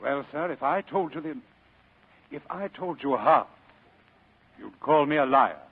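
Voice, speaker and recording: An actor playing a jovial, sly, heavyset crime boss in 1940s radio style, with florid, courtly speech. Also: male voice, a little high, animated, measured pace, husky, heavily acted. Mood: delighted, shrewd. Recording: muffled old radio broadcast recording.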